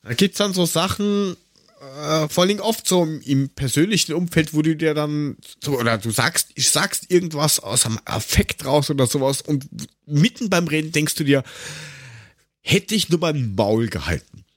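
A man's voice: a little high, expressive, moderate pace, no room sound, studio quality. A man chatting casually as co-host of a podcast.

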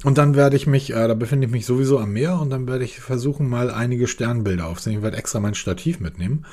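A podcast host from whose comes through in his casual, rambling chat about gadgets. His voice sounds husky and worn, since he has a cold. He sits at a middling pitch and keeps restarting his sentences as his enthusiasm runs ahead of him. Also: quick pace, dark tone. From Hamburg, northern Germany.

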